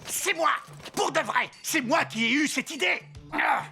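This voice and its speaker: Male villain's sidekick, boastful, petulant, forceful tone, wildly varied intonation, cartoon dub. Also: very high voice, expressive, a little slow, creaky, faint music behind, heavily acted. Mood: indignant. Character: boastful, whiny male villain's henchman craving to be boss.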